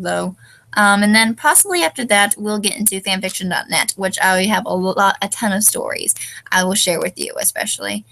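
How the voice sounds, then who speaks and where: A woman's voice: medium pitch, sharp tone. Stuffy young woman, rambling with ums. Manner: hesitant, many ums, loses her train of thought. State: stuffy and tired. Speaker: fanfiction reviewer. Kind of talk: rambling casual monologue.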